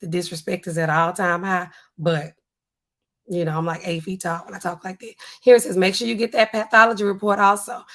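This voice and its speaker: Hoarse woman pharmacist on a livestream. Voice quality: husky, weak voice. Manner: animated, drops low and slow to sound stern. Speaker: pharmacist streamer. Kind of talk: casual livestream chat reading comments. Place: home studio with neon sign.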